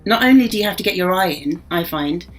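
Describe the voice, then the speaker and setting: A voice actress with a mixed accent, talking casually and reflectively in an interview. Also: female voice, fairly low pitch, lively intonation, quick pace, faint music behind. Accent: mixed, no single regional accent.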